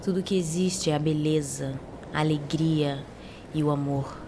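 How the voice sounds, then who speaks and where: Woman acting a soft, seductive, low-pitched mermaid, noisy backdrop. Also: steady tone, a little slow. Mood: intense, persuasive. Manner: soft, delicate, beguiling speech. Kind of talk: audio drama acting.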